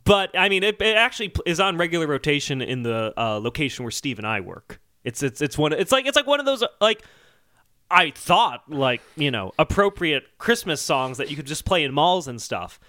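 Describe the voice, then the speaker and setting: High-voiced, very animated male podcast host. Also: a little fast, no room sound, studio quality. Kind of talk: casual comedy podcast chat.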